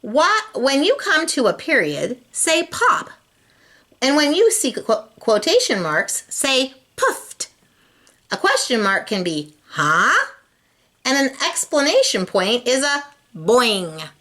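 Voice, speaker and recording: A woman voicing an orderly, good-humored female schoolteacher in a storybook, instructing in a deliberate way. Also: sits high, wildly swooping pitch, pushed and bright, pitched above the performer's own voice, exaggerated voice. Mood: instructive.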